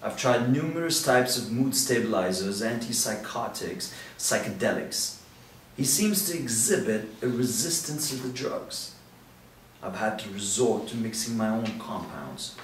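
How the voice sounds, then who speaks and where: A man reading the part of a reserved male doctor at a table read, explaining his case in a steady way. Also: mid-range voice, measured pace, lightly acted. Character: reserved male doctor who mixes his own drugs. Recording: roomy echo, distant mic, faint hiss.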